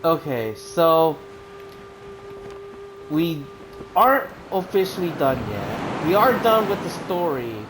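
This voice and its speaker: Slow-talking, chatty game streamer man. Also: fairly high pitch, sing-song, noisy background. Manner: chatty, emotional, sighing. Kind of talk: casual live gameplay commentary.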